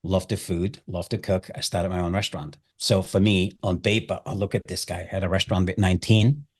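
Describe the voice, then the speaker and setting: Deep-voiced Austrian man. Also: fairly even intonation, a little fast, husky. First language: German. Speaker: chef and hospitality guest. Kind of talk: conversational interview storytelling.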